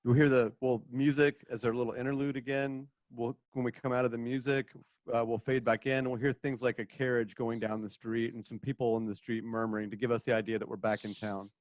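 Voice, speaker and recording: A man's voice: medium pitch, flat delivery, a little fast, darkish tone, dry recording. A man narrating the stage directions of a radio play in an informal way.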